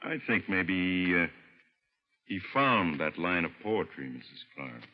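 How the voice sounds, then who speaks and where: Animated, slangy old-radio police inspector. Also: male voice, sits low, slow pace, exaggerated voice. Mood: grave. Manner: slangy, wry, world-weary. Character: gruff, wisecracking, skeptical male police inspector. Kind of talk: mid-century American radio crime acting. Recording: vintage radio, muffled, long reverb.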